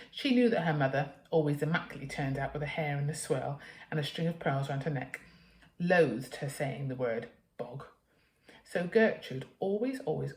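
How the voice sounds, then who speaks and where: A British woman reads a children's storybook with a teacher's expressive delivery. Her voice sits a little low. The recording has the echo of a reflective room. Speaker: teacher reading a story to pupils. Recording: echoey room.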